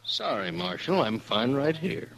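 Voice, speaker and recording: An actor playing an arrogant, scheming frontier boss who talks in a smug, sneering way, in 1950s radio drama style. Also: male voice, mid-range voice, big pitch swings, unhurried, a bit bright, heavily acted.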